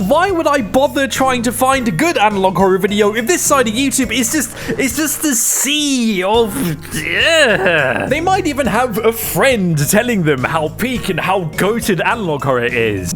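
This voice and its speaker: A man's voice: very high-pitched, a bit bright. A man giving casual, sweary video-essay commentary in an emphatic, conversational, exasperated way.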